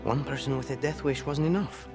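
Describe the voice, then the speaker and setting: A man's voice: medium pitch, steady tone, a little fast, creaky, over loud music, lightly acted. An actor playing an anxious, exasperated everyman military pilot in a video game, with a sarcastic, pleading, agitated manner. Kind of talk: naturalistic video game acting.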